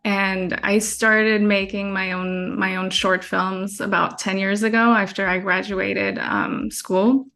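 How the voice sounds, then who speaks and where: A woman's voice: medium pitch, little pitch movement, slow, hardly any pauses, a bit bright. A woman, a documentary filmmaker answering interview questions in a chatty way, often restarting sentences. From France. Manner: chatty, many fillers and restarts, laughs easily.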